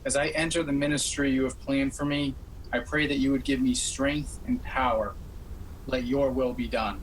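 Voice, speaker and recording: A man acts a calm, devout young holy man in a radio play. His mid-pitched voice is measured, earnest and unyielding, with a little noise from a home recording. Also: close to an everyday voice. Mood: prayerful. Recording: faintly noisy home video-call recording.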